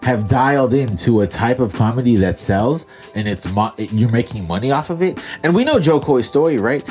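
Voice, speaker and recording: A man's voice: mid-range voice, moderate pace, faint music behind. A man co-hosting a podcast in casual chat, making his points with emphasis and questions. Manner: animated, argues with emphasis, asks questions. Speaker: podcast co-host.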